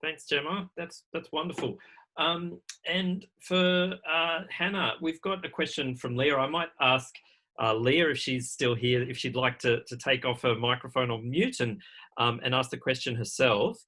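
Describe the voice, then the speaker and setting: A man's voice: mid-range voice, fairly even intonation, normal speed, pushed and bright. A man with a polite, encouraging manner, informally moderating questions at an online event. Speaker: event host reading audience questions. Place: online video call.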